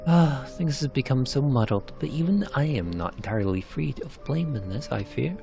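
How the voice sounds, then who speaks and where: A man voicing a gentle, composed woman in a narrated game story. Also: medium pitch, animated, normal speed, gravelly, soft background music. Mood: soft, reassuring. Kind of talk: narrated game cutscene character.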